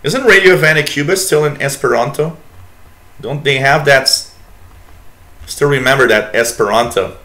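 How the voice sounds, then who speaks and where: A man's voice: medium pitch, moderate pace, long pauses, sharp tone. A man in his forties whose first language is French, speaking English with a Quebec French accent as he hosts a live radio stream, chatting loosely and reading out messages from viewers. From Quebec, Canada. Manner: rambling, reads chat messages aloud, many fillers. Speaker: radio show host. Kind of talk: casual live-stream chat.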